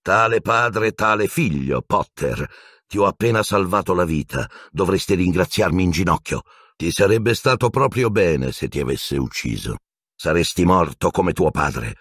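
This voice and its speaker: A man's voice: low voice, a bit bright, breathy edge, no echo, studio quality, exaggerated voice. A man voicing a vindictive, sneering male schoolteacher unhinged with rage in a storybook reading, menacing, hissing and shrieking.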